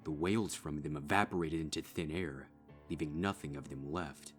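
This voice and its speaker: A man reading a dark horror story as a dramatic scripted narration. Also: extremely low pitch, moderate pace. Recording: music behind.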